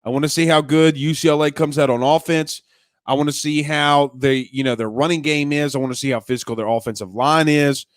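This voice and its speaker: Man, young adult, slightly congested, smooth voice, dry close mic, rambling sports podcast host. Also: a little high, fairly even intonation, fairly quick, no room sound. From Louisiana. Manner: rambling, restarts sentences, calls listeners man and bro. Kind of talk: casual live-stream chat reading comments.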